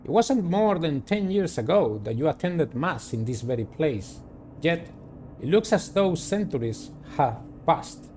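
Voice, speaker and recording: Animated higher-pitched man reading a story. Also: measured pace, a little hiss or hum. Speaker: gaming video host. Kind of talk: reading visual novel narration aloud with personal commentary.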